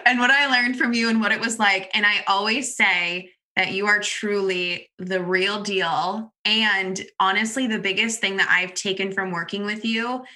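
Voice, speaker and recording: A young woman with a bright, forward voice chats enthusiastically in a casual interview. She talks loosely at a middle pitch, with "like" dropped in again and again. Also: fairly even intonation, normal speed, few pauses. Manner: chatty, enthusiastic, heavy use of "like". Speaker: interviewer and former client.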